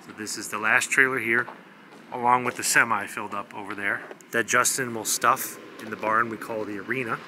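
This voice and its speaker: A man's voice: fairly low pitch, fairly even intonation, fairly quick. A young man filming himself in a hay barn on a phone at arm's length, chatting candidly to camera about his farm work. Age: young adult. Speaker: farm vlogger. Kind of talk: casual vlog narration. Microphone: handheld phone camera at arm's length. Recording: noisy barn with engine hum.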